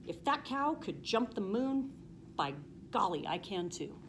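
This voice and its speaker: A woman reads as a plucky, determined lady cow in a children's storybook. The voice is earnest and emphatic, with lively swings in pitch, over faint background noise. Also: unhurried.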